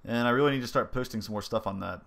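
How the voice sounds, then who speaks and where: A man's voice: mid-range voice, racing, creaky, fairly bright tone. A young man with a stuffy, congested voice, casually thinking aloud as he shows off his game engine, with plenty of ums and likes. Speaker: indie game developer. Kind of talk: casual devlog commentary over gameplay.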